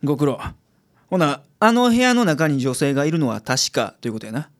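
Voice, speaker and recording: Man playing a gruff Kansai detective boss. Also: fairly high pitch, big pitch swings, fairly quick. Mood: brusque. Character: gruff, businesslike male private detective boss. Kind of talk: radio drama acting.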